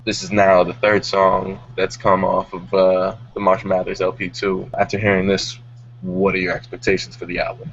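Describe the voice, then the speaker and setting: A man's voice: low voice, completely flat delivery, normal speed, fairly bright tone. A man hosting a music discussion show, talking casually with his panel. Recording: dull audio with music behind.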